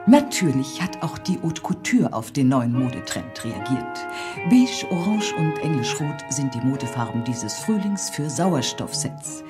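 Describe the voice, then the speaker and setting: An actress playing a polished fashion reporter in a radio drama, presenting in a matter-of-fact, breezy report style. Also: female voice, deep voice. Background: music under the voice.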